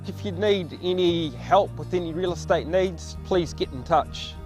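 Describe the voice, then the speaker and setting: A man's voice: high-pitched, a little slow, soft background music. A middle-aged man giving a friendly, earnest sponsor message, a little hesitant.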